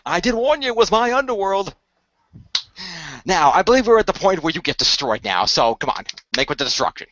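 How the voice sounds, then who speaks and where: A man's voice: high voice, sing-song, a little fast, a bit bright, dry recording, highs cut off, pitched above the performer's own voice. A man voicing a smug, flippant lord of the underworld in a tabletop game, with a sarcastic, breezy showman's delivery. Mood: smug, mocking.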